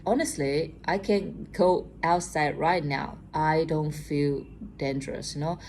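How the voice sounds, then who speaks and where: A woman's voice: low-pitched, steady tone, slow, darkish tone, slight background noise. A young Chinese woman with a Chinese accent, chatting casually to camera as a vlogger and often tagging on "okay?". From China. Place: room at home at night.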